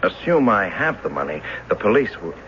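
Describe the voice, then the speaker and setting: An actor playing an earnest, stubborn husband with a deliberate manner in a mystery radio drama. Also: male voice, sits low, very animated, fairly bright tone. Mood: defiant, tense. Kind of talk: old-time radio drama acting. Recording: muffled noisy radio drama recording.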